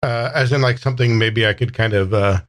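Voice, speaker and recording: A man playing a folksy, polite, unhurried man with a drawl in a tabletop role-playing game. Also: mid-range voice, lightly acted. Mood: folksy, confident.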